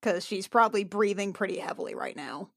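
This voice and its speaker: A woman's voice: mid-range voice, animated, brisk, creaky, no room sound, studio quality. A woman playing a tabletop game, talking informally and hesitantly as she thinks aloud and describes her character's actions. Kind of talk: casual improvised game talk.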